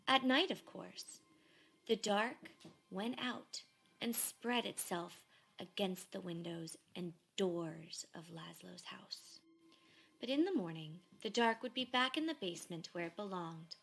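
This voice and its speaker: A woman reading a children's story aloud expressively, in measured phrases that often fall to a hush. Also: lowish voice, lively intonation, gravelly, breathy edge. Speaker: storybook reader.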